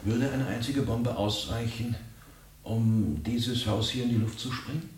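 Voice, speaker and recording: A man's voice: mid-range voice, fairly even intonation, a little slow, slight background noise, lightly acted. A man playing an embittered, uncompromising revolutionary in a radio play, terse and stern. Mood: hard, challenging. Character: embittered, uncompromising male revolutionary back from prison.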